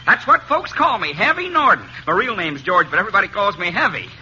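Chatty frontier blacksmith: high-pitched and swooping, folksy, friendly braggart for an old radio western. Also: male voice, very animated, quick pace, bright, forceful tone, exaggerated voice. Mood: boastful, jovial. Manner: folksy, eager, rustic cowboy speech. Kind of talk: 1950s radio western acting. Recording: dull, noisy old radio recording.

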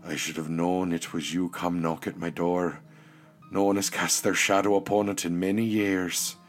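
Man voicing a weary old man, low-pitched. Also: flat delivery, soft background music, close to an everyday voice. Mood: tired, accepting. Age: older. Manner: slow, sighing, gentle. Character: kind, weary, frail old man, hermit healer. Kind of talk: storybook character acting.

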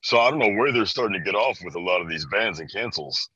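A young American man in his early twenties, from Chicago, talks casually as a guest. His voice is on the low side but bright and forceful. The recording is close and dry, a bit dull and lo-fi. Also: expressive, fast, breathy edge, dry recording. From Chicago, USA. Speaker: interview guest. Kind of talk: casual chat. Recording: dry, slightly dull band-limited audio.

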